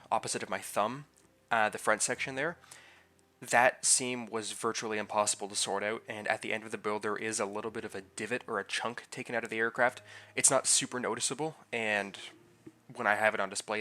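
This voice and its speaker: Man, low-ish voice, forceful bright tone, a bit nasal, stuffy from a head cold, chatty build voice-over. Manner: loose and chatty, with many fillers and restarts. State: getting over a head cold, slightly congested. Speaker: scale model builder and channel host. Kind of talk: casual voice-over narration of a model build.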